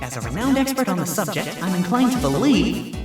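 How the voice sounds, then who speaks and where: A man acts out a cornered fox defense attorney, quick-tongued and increasingly desperate, his pitch bouncing around as he argues. Soft background music runs beneath this fan-made storybook reading. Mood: arguing. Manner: animated, swings from quick retorts to deflated mumbling. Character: flustered fox defense lawyer, sly but desperate.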